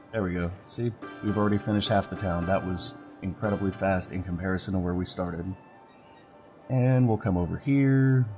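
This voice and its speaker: A congested man giving casual gameplay commentary as a let's play host, relaxed and thinking aloud as he clicks. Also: low voice. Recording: background music under voice.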